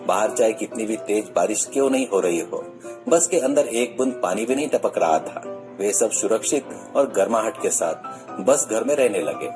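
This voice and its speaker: A man's voice: on the low side, expressive, normal speed. A man reading a scripted story as narrator. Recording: music bed under the voice. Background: music.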